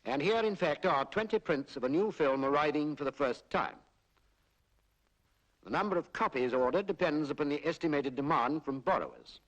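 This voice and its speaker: Man, British accent, slightly high voice, formal measured narration, 1940s public information film, period soundtrack. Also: animated, a bit bright, dull audio. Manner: formal, measured explanatory delivery. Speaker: documentary narrator.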